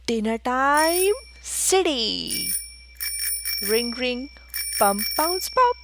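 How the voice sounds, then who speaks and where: A woman with a fairly high voice performs a children's rhyming picture book. Her pitch swoops widely and she delivers each short phrase slowly and rhythmically, with theatrical exclamations. Music plays behind her. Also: big pitch swings, noisy background. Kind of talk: animated picture-book read-aloud for preschoolers.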